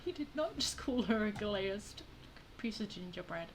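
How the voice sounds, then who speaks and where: A woman's voice: mid-range voice, animated, normal speed, background noise. A woman game streamer giving casual playthrough commentary with wry, teasing asides.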